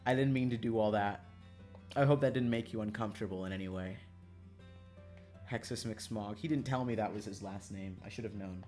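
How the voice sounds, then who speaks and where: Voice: medium pitch, fairly quick, a little mellow, faint music behind. A streamer chatting casually on a livestream in a joking, self-mocking, dramatic way, swearing freely.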